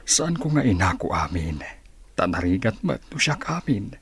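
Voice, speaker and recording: A frank, caring older man in a Filipino radio drama. The voice sits low and is heavily husky, that of a plain-living family man. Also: sing-song, normal speed, dry recording, full character voice. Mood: frank, reassuring. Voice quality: raspy.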